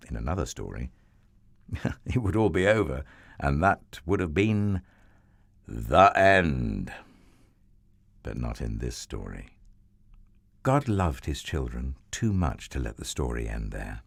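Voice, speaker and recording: A man narrating a children's Bible story in a tender, expressive storybook manner. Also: rumbling low, vocal fry, husky, no echo.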